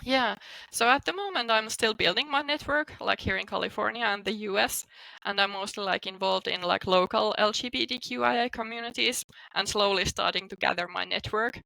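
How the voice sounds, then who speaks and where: A woman's voice: mid-range voice, fairly quick, vocal fry, bright, forceful tone, dry recording, rough recording. A woman from Finland with a Finnish accent, talking informally as an interview guest and often saying "like". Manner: informal, peppered with "like" as a hedge. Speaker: guest, psychotherapist and coach. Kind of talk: casual interview talk.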